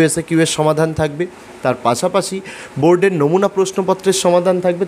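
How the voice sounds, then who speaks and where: A man with a West Bengal accent talks quickly in a slightly high voice, explaining to students like an online class host. His delivery is instructional and emphatic, often repeating points. There is faint noise behind him. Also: darkish tone. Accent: Bengali from West Bengal, India. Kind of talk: explanatory tutorial narration addressed to students.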